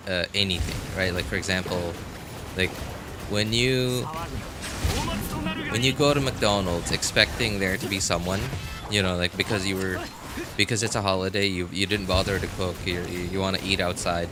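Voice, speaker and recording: A man with a fairly low voice talks casually to viewers on a live game stream. He thinks aloud in a halting way, repeating words as he goes. Music plays clearly behind him. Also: steady tone.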